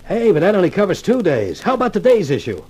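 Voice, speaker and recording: A beleaguered newspaperman from a 1950s radio thriller. His delivery swings widely, full of sardonic wisecracks, in a soft-edged, fairly high male voice. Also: huge pitch swings, fast, slightly rough, exaggerated voice. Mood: alarmed, indignant. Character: wry, beleaguered male newspaper columnist in a mystery. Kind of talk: 1950s radio crime drama acting.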